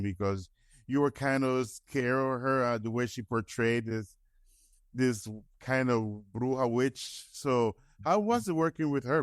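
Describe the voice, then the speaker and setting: A man's voice: mid-range voice, no echo. A man interviewing in a casual, fan-like way, stumbling through rambling questions with false starts.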